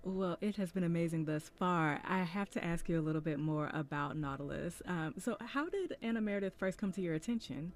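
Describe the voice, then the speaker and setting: A woman's voice: fairly low pitch, brisk, hardly any pauses. A woman radio host interviewing a guest during a concert broadcast, in a polished, upbeat manner.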